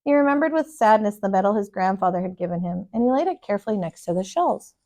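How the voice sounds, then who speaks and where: A woman reading a children's storybook aloud with deliberate, emphatic, expressive storytelling. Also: mid-range voice. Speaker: storybook reader, kindergarten teacher.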